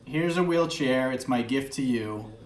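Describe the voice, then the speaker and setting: Woman, extremely low pitch, casual helper, echoey room. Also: a little slow, darkish tone. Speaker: off-camera helper who reads and handles dogs. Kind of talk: brief casual remarks, coaxing a dog.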